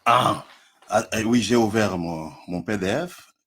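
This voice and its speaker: A man's voice: on the low side, unhurried, a bit bright. A man from Congo with a Congolese French accent, debating in a live online stream, hesitant and stammering with frequent chuckles.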